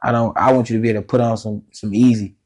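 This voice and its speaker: Raspy-voiced male guest, loose and slangy, easy podcast chatter. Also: on the low side, flat delivery, very fast, a little mellow. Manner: laid-back, slangy, laughs often. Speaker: musician guest. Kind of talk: casual podcast interview.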